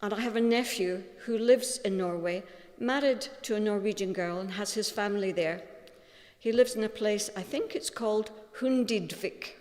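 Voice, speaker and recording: Scottish woman in a reverberant hall. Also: medium pitch. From Scotland. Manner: gentle, unhurried storytelling. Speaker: mourner giving a tribute. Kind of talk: funeral tribute speech. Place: funeral chapel. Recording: long reverb of a large hall.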